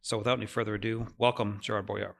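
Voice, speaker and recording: A man's voice: fairly low pitch, level tone, fast, vocal fry, very noisy. A man who directs a museum society, opening a public lecture with housekeeping notes in a friendly, informal way with filler words, in a lecture room. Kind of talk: public event introduction. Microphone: mic over room speakers.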